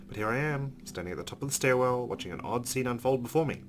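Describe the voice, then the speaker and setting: A man's voice: mid-range voice, expressive, quick pace, soft background music. A man hosting a let's play, reading a visual novel's narration aloud with casual asides.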